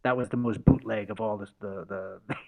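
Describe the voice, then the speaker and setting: A man's voice: fairly low pitch, steady tone, moderate pace, vocal fry, darkish tone, poor audio. A man in his forties from the United States, a podcast guest telling stories in casual conversation, laughing often and restarting with fillers. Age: 40s.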